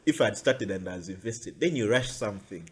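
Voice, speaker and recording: A man's voice: lowish voice, fairly quick, slightly rough. Chatty Ugandan man hosting a podcast. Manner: chatty, animated storyteller. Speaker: podcast host. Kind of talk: casual chat.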